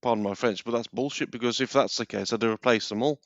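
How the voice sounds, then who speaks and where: A man with a medium-pitched voice, chatting casually as a talk show guest. His audio is dry and slightly muffled, like a band-limited call. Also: quick pace, dry recording. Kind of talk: casual chat.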